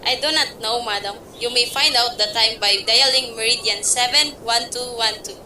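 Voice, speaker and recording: A woman's voice: fairly high pitch, normal speed, reverberant, noisy background, natural voice. A woman in a student radio play, voicing a detached, formal telephone operator with a clipped way of speaking.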